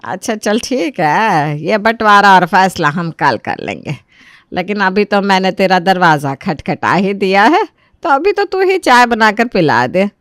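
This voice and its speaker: A woman's voice: medium pitch, big pitch swings, a little slow, a bit bright, no room sound, studio quality. A woman playing a bossy, nagging older mother-in-law who scolds and demands in a dramatized moral story. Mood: demanding. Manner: scolding, demanding, rustic turns of phrase.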